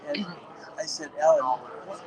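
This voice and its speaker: A man's voice: fairly high pitch, very animated, moderate pace. An older man chatting casually with visitors at a conference booth, rambling through long anecdotes. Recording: loud crowd chatter behind.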